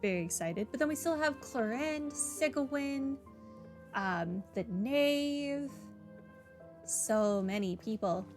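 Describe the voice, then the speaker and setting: A woman with a fairly high voice hosts a game playthrough. She chats casually and reads on-screen text aloud, with game music playing behind her. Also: lively intonation, slow pace. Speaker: gaming video host.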